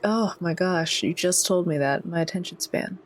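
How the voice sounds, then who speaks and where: A woman's voice: fairly low pitch, normal speed. A woman playing a tabletop roleplaying game, chatting informally and thinking aloud as she goes. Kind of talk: casual in-game chat.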